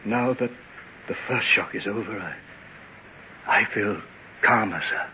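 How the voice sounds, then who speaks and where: An actor in an old-time radio play as a grief-stricken male servant, halting and deferential. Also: fairly low pitch, pushed and bright. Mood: shocked, grieving. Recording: muffled, hissy old radio recording.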